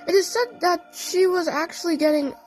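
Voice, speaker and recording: A woman narrating a scripted first-person story, with halting phrasing and odd pauses. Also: very high voice, soft background music, rough recording. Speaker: narrator of a fan audio play.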